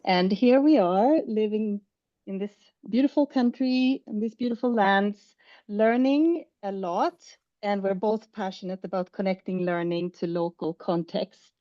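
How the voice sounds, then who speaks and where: A woman from Sweden, an educator, giving a measured prepared conference talk over a video call. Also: medium pitch, no echo, muffled recording. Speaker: educator presenting at a symposium.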